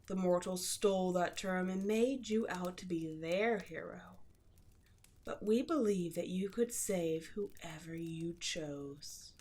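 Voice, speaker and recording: A woman playing an imperious, seductive demon queen in a roleplay audio drama, slow and theatrical, often slipping into a breathy whisper. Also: lowish voice, darkish tone. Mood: seductive, coaxing.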